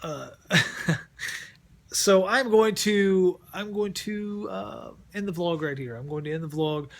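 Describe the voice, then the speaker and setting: A man's voice: high-pitched, takes it slowly, gravelly. A middle-aged man talking casually at home for his vlog, rambling and often repeating words as he restarts sentences. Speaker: vlogger. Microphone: close mic.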